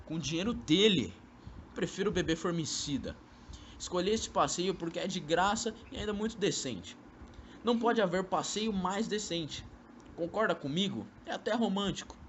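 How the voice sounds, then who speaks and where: A man's voice: high voice, expressive, moderate pace, a bit bright. A man with a Brazilian accent acting a jovial, sly young suitor in an amateur radio drama, sweet-talking and teasing. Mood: wounded, persuasive. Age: young adult. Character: jovial, sly, pleading young man wooing his ex-lover. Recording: noisy amateur recording.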